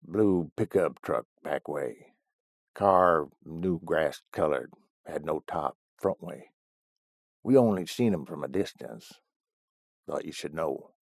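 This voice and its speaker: A man voicing a grumpy old ranch hand with a slow, gruff rural drawl. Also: a bass voice, lively intonation, no room sound, clean studio sound, pitched below the performer's own voice, full character voice. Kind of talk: audiobook character voice.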